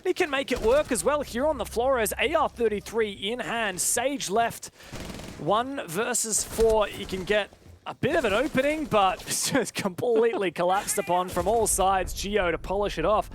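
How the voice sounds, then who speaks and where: A man doing live esports play-by-play commentary, getting excitable on big plays. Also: high voice, normal speed, a little hiss or hum.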